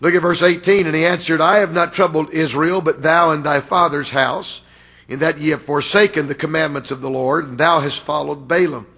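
Raspy-voiced man preaching with fire. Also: on the high side, fairly even intonation, fairly quick. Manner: rises to loud emphatic preaching, stretched words. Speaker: guest preacher. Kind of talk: fiery revival sermon.

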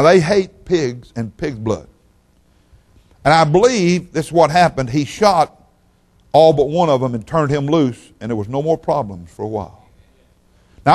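Older preacher, folksy and rambling. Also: male voice, on the high side, expressive, normal speed. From Oklahoma, raised in Texas. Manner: folksy, rambling, repeats words. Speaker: guest pastor. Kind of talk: sermon.

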